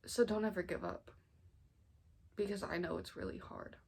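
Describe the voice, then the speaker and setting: A young American woman of about nineteen, talking informally and earnestly in a halting way, as in a personal storytime vlog. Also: mid-range voice, steady tone, vocal fry, slightly rough. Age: 19, nearly 20. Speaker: family vlogger. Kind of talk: personal storytime vlog, casual confessional talk.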